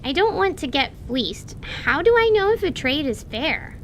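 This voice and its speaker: Radio-play casting: woman as shrewd, blunt little girl, very high voice, lively swings in pitch, inquisitive. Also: moderate pace, a little hiss or hum. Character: curious, shrewd young girl, one of two sisters. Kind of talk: audio drama acting of a tabletop campaign.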